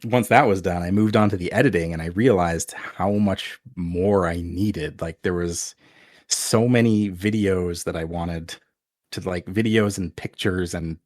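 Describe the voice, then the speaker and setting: A soft-spoken man chatting casually as an interview guest, conversational and a little self-deprecating about his creative work. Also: low voice, measured pace, dry recording, studio quality.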